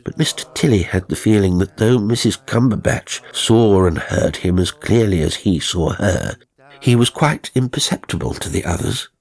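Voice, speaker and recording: A man narrating a scripted ghost story as an audiobook reader, in a theatrical, measured storytelling manner. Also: on the low side, vocal fry, darkish tone.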